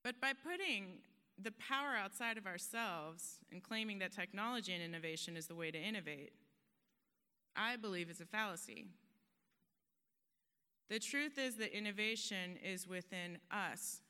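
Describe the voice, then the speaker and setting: A woman's voice: medium pitch, moderate pace, bright, forceful tone, smooth. A woman giving an earnest, emphatic motivational talk on a conference stage, now and then laughing at herself. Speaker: conference speaker. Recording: long hall reverb.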